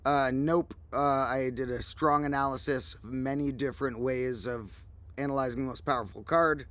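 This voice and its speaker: A man hosting a casual livestream, his voice slightly hoarse, telling a rambling story with animated asides. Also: medium pitch, moderate pace. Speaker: host. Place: outdoors, windy.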